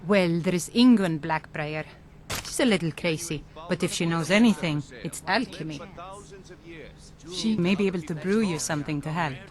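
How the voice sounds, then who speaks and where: A woman's voice: fairly low pitch, normal speed, natural voice. Woman voicing a bitter, poisoned young woman. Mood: weary. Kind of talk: video game dialogue.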